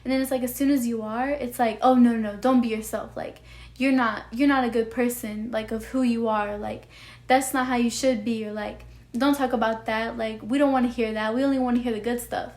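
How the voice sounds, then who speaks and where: Young woman vlogger, soft and childlike, a bit high, earnest and rambling. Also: fairly even intonation, brisk. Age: young adult. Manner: earnest, rambling, full of "like" and "you know". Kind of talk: heartfelt personal talk to camera.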